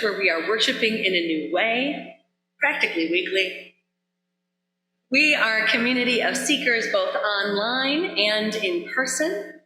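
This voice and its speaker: Woman, minister, bright forceful voice, deliberate public delivery, church announcements, echoey sanctuary. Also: mid-range voice. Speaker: minister welcoming a congregation. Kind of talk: church service welcome and announcements. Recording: roomy, echoing church sanctuary.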